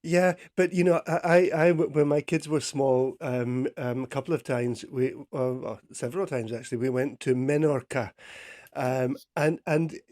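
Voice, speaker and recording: Stammering middle-aged radio host. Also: male voice, mid-range voice, fairly even intonation, moderate pace, darkish tone. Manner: repeats words and restarts often. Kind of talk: radio interview.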